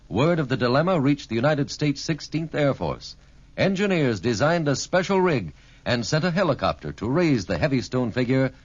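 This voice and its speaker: A man reading a scripted public service message as a radio announcer, in an earnest, solemn oratorical manner. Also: mid-range voice. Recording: dull vintage radio recording.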